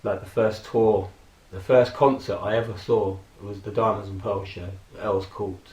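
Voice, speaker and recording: A man in his forties, hoarse from a throat infection, casually rambling and reminiscing with frequent fillers and laughs. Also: lowish voice, steady tone, moderate pace, a little mellow, roomy sound, poor audio. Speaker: vlogger. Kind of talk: casual personal tribute monologue.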